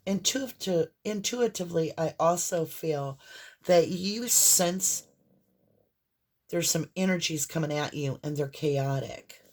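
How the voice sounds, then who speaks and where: A woman with a hoarse voice and a mixed Southern and Northern American accent, giving a casual, rambling tarot reading straight to the viewer. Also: sits low, slow, a little mellow. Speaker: tarot reader.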